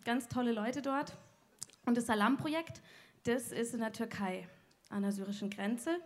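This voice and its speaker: A woman with a slightly high voice, the kind of guest heard casually introducing herself on stage at a gathering. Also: moderate pace, a little mellow. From southern Palatinate, Germany. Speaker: guest speaker.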